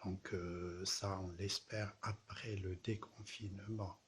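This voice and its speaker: A man from southern France, an artist presenting his exhibition in a slow, halting way, with pauses and repeated words. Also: low-pitched, flat delivery, a bit bright, a little hiss or hum. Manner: repeats poetic refrains. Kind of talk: casual spoken address to camera.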